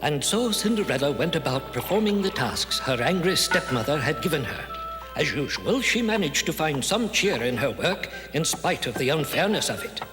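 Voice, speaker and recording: A man narrating a cartoon fairy tale as a gentle, sympathetic storyteller, reading from a script. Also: a little high, big pitch swings, normal speed. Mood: warm storytelling. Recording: long reverb, music behind.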